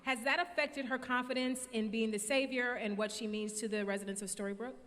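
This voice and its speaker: A woman's voice: a little high, fairly even intonation, a little fast, fairly bright tone. A woman moderating a fan convention panel in a playful, gushing, informal way. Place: large convention hall stage. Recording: echoey convention hall PA, noisy.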